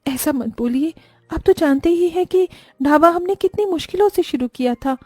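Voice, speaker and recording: Lady doing concerned wife in a cartoon, high mellow voice, caring n earnest. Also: moderate pace, no echo, faint music behind. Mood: worried. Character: caring, resourceful wife of an eatery owner. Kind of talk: scripted cartoon dialogue.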